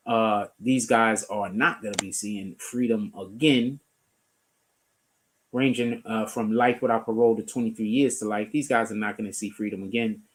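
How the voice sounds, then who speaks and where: A man's voice: mid-range voice, rough recording. A man from the Bronx, hosting a casual stream and commenting on news with loose, profane chatter while he clicks through web pages. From the Bronx, New York. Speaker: streamer host.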